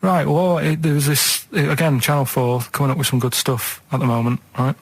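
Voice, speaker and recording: A man's voice: medium pitch, fairly even intonation, fairly quick, slightly rough, no echo. A man co-hosting a radio show, telling odd stories in a casual, rambling, hesitant way with tag questions. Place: radio studio.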